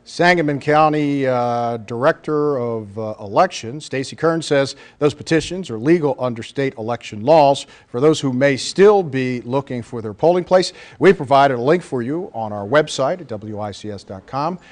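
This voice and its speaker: A man's voice: medium pitch, normal speed, hardly any pauses. American man anchoring local TV news. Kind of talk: scripted local TV newscast. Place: TV news studio.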